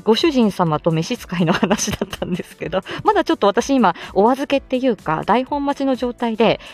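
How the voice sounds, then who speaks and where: A woman hosting a casual live stream from inside a car, playful and self-deprecating as she gives chatty announcements. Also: medium pitch. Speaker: livestream host; speech-language therapist.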